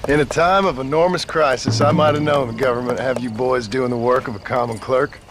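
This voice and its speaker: An actor playing a gruff, sardonic former outlaw in a frontier video game, speaking in a terse, dry, blunt way. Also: male voice, medium pitch, fairly even intonation, brisk, noisy background, natural voice. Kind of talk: dramatic video game acting.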